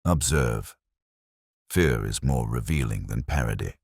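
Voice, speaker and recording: A man voicing a calm, shrewd police inspector in an audiobook mystery, speaking formally and mildly. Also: a bass voice, gravelly, breathy edge, no echo, clean studio sound, voice pushed down, heavily acted. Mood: calm, grave. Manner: measured, formal, mild-toned.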